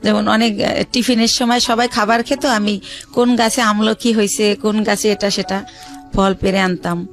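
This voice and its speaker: A woman from Bangladesh telling her life story as a radio show guest, hesitant, with many pauses and fillers. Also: medium pitch, level tone, soft background music.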